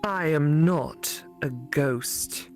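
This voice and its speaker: A woman voicing a mysterious, possessive female spirit who lives in a phone, speaking slowly and deliberately. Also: deep voice, a little mellow, soft background music. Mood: coolly possessive.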